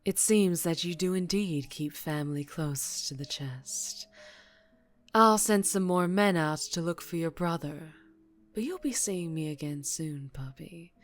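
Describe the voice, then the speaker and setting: A woman playing a dangerously charming female mafia boss in an ASMR roleplay, teasing and condescending with quiet, possessive menace. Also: low-pitched, measured pace, faint music behind. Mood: teasing, probing.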